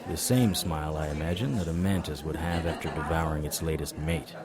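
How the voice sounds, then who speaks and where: Noir audio drama lead: world-weary supernatural private eye, deep and sardonic, over crowd noise. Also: male voice, fairly quick. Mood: wry narration. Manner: wry, sardonic. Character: hard-boiled male occult detective, wry and world-weary. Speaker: narrator and lead character.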